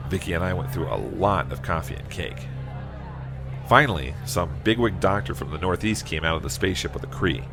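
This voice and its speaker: A man playing a humble, plain-spoken farmer from Iowa in an audio drama, a little hesitant and folksy. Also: low-pitched. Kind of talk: audio drama acting and first-person narration.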